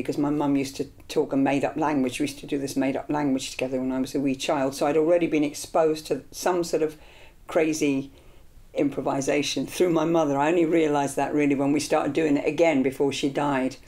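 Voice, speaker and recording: A woman's voice: sits low, fairly quick. An older woman from Scotland, raised in London, talking earnestly in an interview about her start as an improvising singer, with fillers and restarts. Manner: earnest, animated with hand gestures. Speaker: improvising vocalist. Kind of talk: reflective interview. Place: small indoor studio room.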